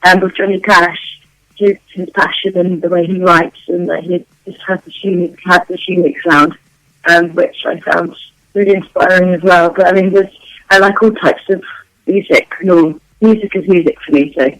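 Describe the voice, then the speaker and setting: A woman singer being interviewed, her voice worn out and going hoarse, chatting loosely with lots of ums and restarts. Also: fairly low pitch, fairly even intonation, moderate pace, a bit bright, dry recording, rough recording. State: losing her voice. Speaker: guest vocalist. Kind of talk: casual interview answers.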